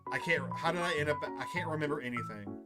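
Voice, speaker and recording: Excitable man streaming over game music. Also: fairly high pitch, fast. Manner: excitable, repeats himself. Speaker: streamer playing a visual novel. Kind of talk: casual livestream chat and reading game text aloud.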